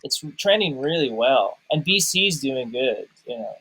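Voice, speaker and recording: A man's voice: medium pitch, animated, measured pace, sharp tone, slightly rough, low-quality recording. A man chatting casually and personally, often repeating words as he searches for the right phrasing. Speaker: podcast guest reviewer, documentary maker.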